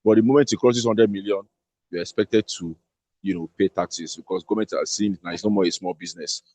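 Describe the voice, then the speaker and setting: A man's voice: on the low side, steady tone, a little fast, mellow. A man explaining tax rules to an online audience, often repeating words as he searches for them. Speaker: tax speaker.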